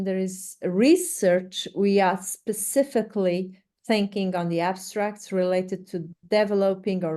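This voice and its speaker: Slow-speaking woman with a Portuguese accent. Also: mid-range voice, darkish tone. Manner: hesitant, halting delivery with frequent pauses and repeated words. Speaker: research director presenting a webinar. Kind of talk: instructional webinar presentation.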